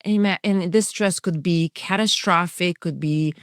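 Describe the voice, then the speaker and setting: A woman's voice: lowish voice, a little slow, no room sound, studio quality. A middle-aged woman from Romania, a psychiatrist and coach who explains things earnestly in long, flowing runs as an interview guest. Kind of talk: conversational podcast interview.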